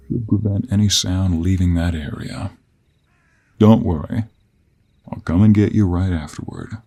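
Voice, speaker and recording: Man doing a low gravelly cowboy monster hunter. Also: warm tone, heavily acted. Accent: American Western. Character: gruff cowboy monster hunter, confident and sly. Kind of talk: fantasy audio roleplay acting.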